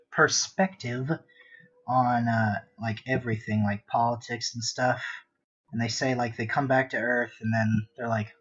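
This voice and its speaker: A man with a husky, mid-pitched voice hosts a casual art stream. He rambles and mutters half to himself as he sketches and chats with viewers. The audio is a little dull and lo-fi. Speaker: art livestream host. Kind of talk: casual chat while sketching.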